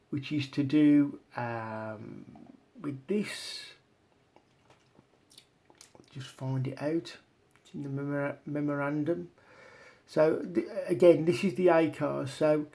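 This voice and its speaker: A man giving informal explanatory commentary as a video presenter, talking in a halting, step-by-step way. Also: fairly high pitch, gravelly, a little mellow. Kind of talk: informal explanatory commentary over on-screen maps and documents.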